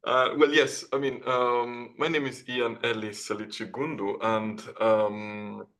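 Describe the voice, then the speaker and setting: A man's voice: medium pitch, level tone, slow pace, a bit bright. A man from Italy, answering as a guest author on an online call in a hesitant, discursive conversational way.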